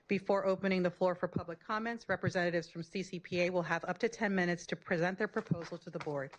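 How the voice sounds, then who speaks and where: A woman's voice: lowish voice, fairly even intonation, fast, slight background noise, poor audio. A woman reading out a formal scripted agenda item as a staff member at a public meeting. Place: public board meeting room.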